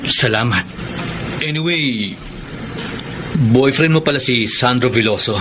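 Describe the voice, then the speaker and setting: A man's voice: mid-range voice, unhurried, lightly acted. An actor playing a remorseful young man in a Filipino radio drama, with an earnest, confessional delivery and a Filipino accent. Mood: earnest, apologetic. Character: remorseful young man who jilted his bride. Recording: noisy radio drama recording.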